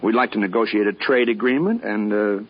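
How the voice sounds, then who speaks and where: An actor playing an earnest, polite and persistent American self-styled diplomat, speaking formally and persuasively in a 1950s radio drama. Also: male voice, on the low side, brisk, natural voice. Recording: dull vintage radio recording.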